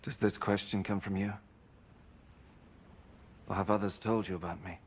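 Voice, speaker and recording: An actor playing a calm, solemn holy man held prisoner in a film, quietly resolute, with a hushed, breathy delivery. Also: low-pitched, normal speed, slight background noise, lightly acted. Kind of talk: dramatic film acting. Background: film score.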